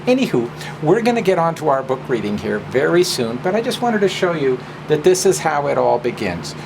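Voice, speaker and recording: A man speaking informally and deliberately as a presenter, drawing out words for emphasis. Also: a little high. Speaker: presenter and drag performer. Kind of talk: informal personal talk and story hour introduction. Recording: clear steady hum of background noise.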